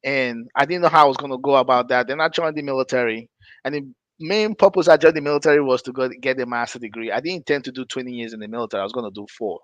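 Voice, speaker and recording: A man's voice: medium pitch, quick pace, dry recording, dull audio. Rambling Nigerian-raised man telling stories. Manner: rambling, self-correcting, laughs between points. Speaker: podcast host. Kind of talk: casual storytelling chat.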